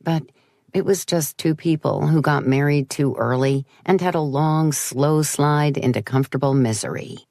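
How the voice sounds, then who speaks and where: A woman's voice: sits low, moderate pace, warm tone, no room sound, studio quality. A woman narrating a first-person story as an audiobook, with a wry, understated delivery.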